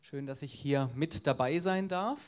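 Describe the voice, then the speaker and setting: A man's voice: a little high, unhurried. A man with a Swabian accent, an evangelist giving an earnest evangelistic sermon with storytelling.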